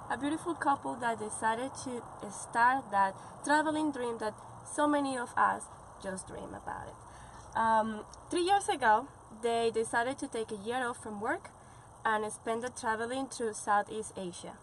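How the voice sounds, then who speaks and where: Young woman interviewing in a van, bit high voice, noisy background. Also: measured pace. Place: parked van among trees.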